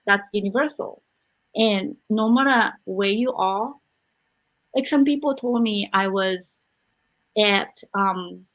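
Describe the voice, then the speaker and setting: A woman's voice: mid-range voice, fairly bright tone, poor audio. A woman from Japan, speaking English as a second language, hosting a podcast interview in an earnest, emphatic way. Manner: earnest, emphatic, repeats points for stress. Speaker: podcast host. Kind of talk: conversational interview.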